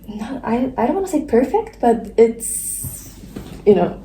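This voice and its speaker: Slow soft-spoken young japanese woman, mellow voice, roomy echo. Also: on the high side, sing-song, recorded from a distance, a little hiss or hum. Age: 20s. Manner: unhurried, soft, trailing off. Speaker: podcast co-host. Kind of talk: casual chat. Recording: echoey room, faint ambience.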